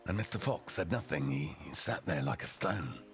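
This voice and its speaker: A man with a gravelly voice telling a story theatrically and a little haltingly, as a rough-and-ready character. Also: extremely low pitch, expressive. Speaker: storyteller, roleplay streamer in character.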